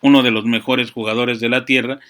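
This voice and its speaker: A man giving a reflective, unscripted monologue, often halting to search for words and adding verbal tags. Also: mid-range voice, flat delivery, fairly bright tone. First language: Spanish. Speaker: speaker on chess and literature. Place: small room with books.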